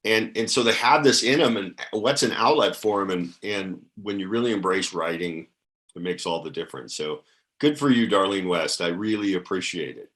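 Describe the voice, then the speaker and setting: A man hosts an interview podcast in a somewhat low voice. He keeps affirming and encouraging his guest, often stopping on hesitant fillers as he goes. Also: moderate pace, a bit bright. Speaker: podcast host.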